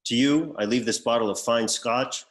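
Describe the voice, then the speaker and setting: A man playing a pompous, deadpan executor who reads out bequests in a comedy script table read. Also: mid-range voice, normal speed, lightly acted. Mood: formal, solemn. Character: pompous male executor reading out an eccentric bequest.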